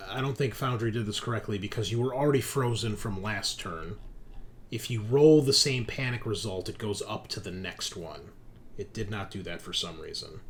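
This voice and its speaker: Game master, male, mid-pitched, procedural and explanatory at the table, noticeable noise behind him. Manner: explanatory, procedural, reading out rules. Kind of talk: running a tabletop roleplaying game. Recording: clearly noisy background.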